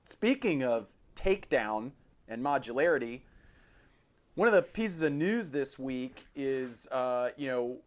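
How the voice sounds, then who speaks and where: A man hosting a podcast in a casual, informal chat, thinking aloud in a halting way. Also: medium pitch, animated. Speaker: podcast host.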